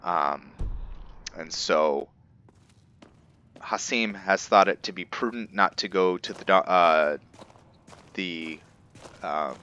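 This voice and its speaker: A man with a very low, crisp voice narrates his fantasy game playthrough. He talks slowly and casually, recapping the story in halting, trailing phrases. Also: bright, forceful tone, muffled recording. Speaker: roleplay gamer and narrator.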